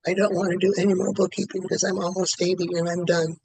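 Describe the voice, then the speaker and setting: Quick, flat-voiced man in his seventies. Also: high-pitched, gravelly, breathy edge, no echo, low-quality recording. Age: 70s. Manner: repeats one statement over and over in a quick, even rhythm. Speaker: client in a tapping session.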